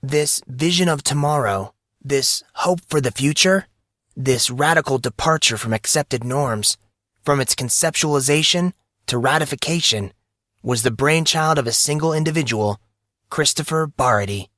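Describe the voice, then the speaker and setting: A man voicing a formal, grave documentary news narrator in an audio drama, reading measured scripted lines. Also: mid-range voice, fairly bright tone, no echo, clean studio sound, lightly acted.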